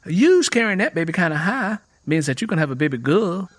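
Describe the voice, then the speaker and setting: A man's voice: high-pitched, sing-song, brisk, dry recording, pitched above the performer's own voice. A man voicing a gossipy, opinionated elderly Southern midwife with a carrying voice and folksy dialect. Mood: knowing.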